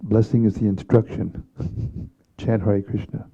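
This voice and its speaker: A man with a husky, gravelly voice giving informal religious instruction in a scripture class. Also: lowish voice, level tone, measured pace, dark tone, highs cut off.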